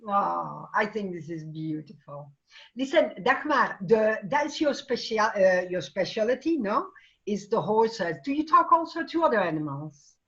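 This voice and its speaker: Woman interviewer, curious, brief affirmations, mid pitch, muffled lo-fi call sound. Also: sing-song, measured pace, a bit bright. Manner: short affirmations, curious questions. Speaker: interviewer, host. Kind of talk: casual interview questions.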